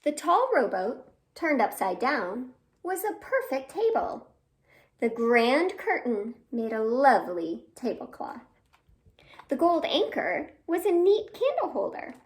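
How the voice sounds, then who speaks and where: Animated, high-voiced woman reading to children. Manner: expressive, exclaiming, gasping, asking listeners questions. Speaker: children's storytime host at a library. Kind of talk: animated read-aloud for young children with sung greeting.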